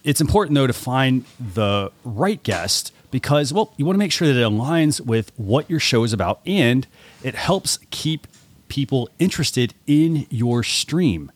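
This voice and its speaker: A man co-hosting a podcast, introducing the topic and the guest in a relaxed, conversational way. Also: mid-range voice, dry recording. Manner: easygoing, with occasional fillers. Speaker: podcast co-host.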